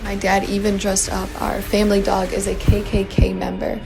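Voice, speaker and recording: A woman giving a testimony to camera in a flat manner, hedging with fillers. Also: medium pitch, normal speed, gravelly, over music.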